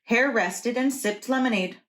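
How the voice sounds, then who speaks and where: A woman's voice: a little high, a little slow, fairly bright tone, a little hiss or hum. A woman reading a picture book aloud to young children as a preschool teacher.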